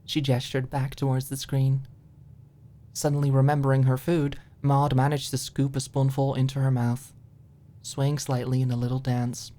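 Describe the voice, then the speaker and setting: A woman narrating a story as a scripted audiobook, in a soft, expressive storytelling manner. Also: rumbling low, normal speed.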